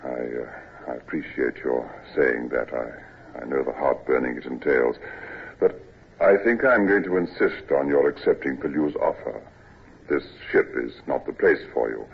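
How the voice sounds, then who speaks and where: An actor playing an ailing, worn-out old navy captain who speaks with a husky voice and hesitates with frequent "uh"s, in a classic radio drama. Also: male voice, rumbling low, normal speed, heavily acted. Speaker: ship's captain. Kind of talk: 1940s-50s radio acting. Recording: tinny old radio broadcast recording.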